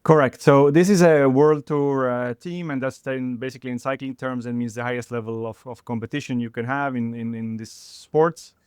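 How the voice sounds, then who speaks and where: A middle-aged man, a sports chiropractor guest answering in a halting podcast interview with many fillers and restarts. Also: mid-range voice, steady tone, darkish tone, clean tone, no room sound. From Estonia.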